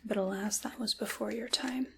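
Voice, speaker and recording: A woman voicing an ancient, sly dark witch in an ASMR roleplay, in a breathy whisper with deliberate, theatrical, old-fashioned phrasing. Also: mid-range voice, fairly even intonation, noisy background, lightly acted. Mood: wistful, reminiscing.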